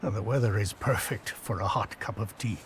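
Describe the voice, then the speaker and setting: An actor playing a composed, genial male companion in a video game, with a calm, dry delivery. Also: lowish voice, brisk, a little hiss or hum, lightly acted. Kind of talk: video game cutscene acting.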